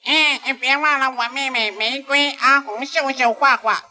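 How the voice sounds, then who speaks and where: A teasing, gossipy dog from the pound chatters in a shrill, bright voice, as a man puts it on. Each name in the list is stretched out slowly. The voice sits far above an ordinary speaking pitch. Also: fairly even intonation, heavily acted. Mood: gossiping. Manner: drawn-out listing of names.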